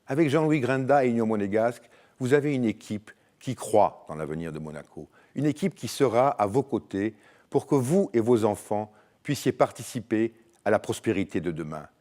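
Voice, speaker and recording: Sixtyish guy, low voice, firm and insistent campaign talk. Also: lively intonation, moderate pace, dark tone. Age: 60s. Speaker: candidate. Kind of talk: campaign address.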